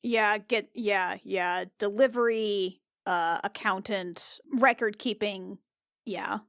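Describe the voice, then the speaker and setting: Slow-talking woman playing a tabletop game. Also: mid-range voice, steady tone, a bit bright, dry recording, studio quality. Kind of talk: casual improvised game talk.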